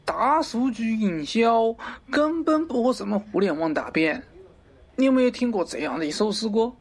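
A man's voice: high voice, lively intonation, moderate pace. A man playing a pompous middle-aged wholesale market director in a parody radio drama, avuncular and lecturing, crude yet fond of poetry. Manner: avuncular, lecturing, theatrical, abruptly swearing.

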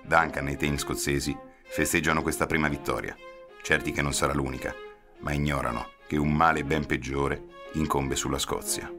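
A man's voice: extremely low pitch, flat delivery, moderate pace, over music. A man giving dramatic scripted narration for a radio drama.

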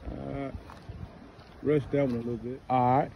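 A man's voice: medium pitch, moderate pace, gravelly, muffled recording, slight background noise. A middle-aged man casually talking through his archery shots outdoors on a wooded range, recorded on a phone camera a few feet away. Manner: relaxed, chatty, explains his shots. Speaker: father shooting archery. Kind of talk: casual outdoor vlog talk.

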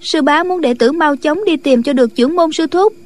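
Very high, eager young girl disciple voiced by a woman. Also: a little fast, natural voice. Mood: earnest, urgent. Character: eager young female sect disciples, earnest and respectful. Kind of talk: audiobook drama of a martial arts novel.

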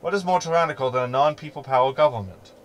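A man's voice: mid-range voice, fast, lightly acted. A man playing a snarky, meddling hologram in a sci-fi sitcom audio drama, talking in a glib, verbose, sardonic way. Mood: lecturing. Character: snarky, meddling male hologram ship companion.

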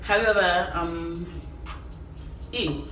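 A young woman telling frank stories during a drinking game, sometimes shouting, at a table in a small apartment. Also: lowish voice, fairly even intonation, slow pace. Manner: candid storytelling, bursts of shouting. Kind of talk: casual party game chat. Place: small apartment dining room. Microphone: far camera. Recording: echoey room from far off, music behind.

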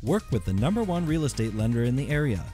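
A man's voice: mid-range voice, big pitch swings, quick pace, warm tone, faint music behind. A man with an American accent reading a scripted radio commercial. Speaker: advertising voice-over.

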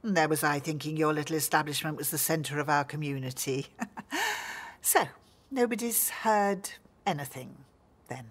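Arch, prying village busybody. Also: female voice, low voice, normal speed, breathy edge. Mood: insinuating. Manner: arch, formal. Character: prying, insinuating woman customer, falsely polite. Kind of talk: radio soap opera acting.

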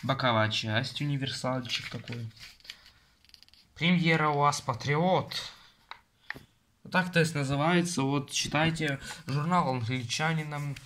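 A man's voice: on the high side, slow, pauses often, a bit bright, a little hiss or hum. Grumbling man rambling at his desk. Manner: rambling, grumbling, restarts and asides to viewers. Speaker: magazine reviewer. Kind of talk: casual off-the-cuff review. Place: indoors at home, desk with magazines.

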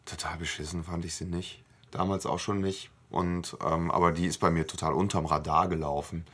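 A man with a deep, husky voice talks as a podcast co-host. His delivery is casual and informal. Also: flat delivery, normal speed, fairly bright tone. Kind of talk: casual discussion.

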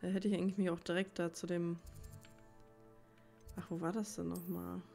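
A woman's voice: on the low side, little pitch movement, normal speed, vocal fry, dark tone. A woman giving casual gameplay commentary, rambling and thinking aloud as she plays. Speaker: let's player. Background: game music.

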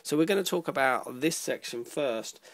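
A man presenting an informal electronics tutorial, explaining step by step in a halting way, pausing mid-sentence. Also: mid-range voice, expressive, no echo.

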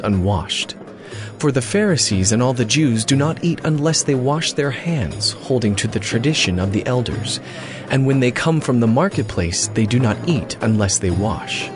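A male narrator with a medium-pitched voice reads scripture formally and in measured phrases. Music is clearly present behind him.

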